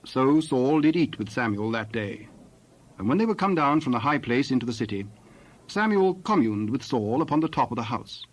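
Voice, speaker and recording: A man narrating dramatized scripture in a formal, measured storytelling style. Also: medium pitch, expressive.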